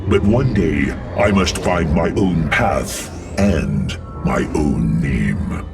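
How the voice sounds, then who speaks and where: An actor playing a solemn robotic alien warrior in a video game, deep and gravelly, speaking in a measured way. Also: male voice, very animated, distant mic, music in the background, full character voice. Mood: solemn, reflective. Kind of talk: dramatic video game cutscene acting.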